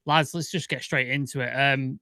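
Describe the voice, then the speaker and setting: A man's voice: medium pitch, fairly even intonation, pushed and bright, smooth, no echo, studio quality. A man hosting a casual football podcast, chatty and joking with his co-hosts. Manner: chatty, joking, quick interjections. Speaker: podcast host.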